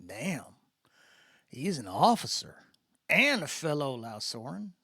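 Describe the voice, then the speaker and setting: An easygoing good old boy from the American South , acted out by a male audiobook reader, mentoring in a science fiction story. He talks in a folksy drawl with lively swings of pitch and some gravel in the voice. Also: slow, no room sound, clean studio sound, full character voice. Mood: wry.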